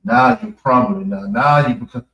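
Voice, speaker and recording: An American man giving an off-the-cuff religious address, halting and repeating phrases for emphasis. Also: medium pitch, huge pitch swings, creaky, a bit bright. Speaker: preacher giving a public address at a mosque. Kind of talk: extemporaneous religious talk. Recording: echoey room, distant mic.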